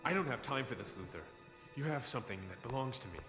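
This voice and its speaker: An actor playing a stern, defiant male superhero in a video game, his voice echoing under loud music. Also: mid-range voice, lively intonation, quick pace, natural voice. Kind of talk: video game cutscene acting. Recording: echoey space, loud music.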